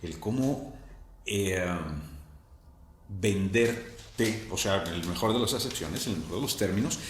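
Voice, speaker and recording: A man with a Mexican Spanish accent hosting a conversational interview, speaking in a measured way with pauses between phrases. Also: lowish voice. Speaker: interviewer, show host. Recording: echoey room, faint background noise.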